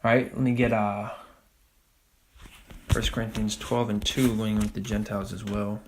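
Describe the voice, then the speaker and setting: A man teaching a Bible study, talking casually with frequent "all right?" and reading scripture passages slowly and deliberately. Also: lowish voice, level tone, a little hiss or hum, low-quality recording. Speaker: religious teacher.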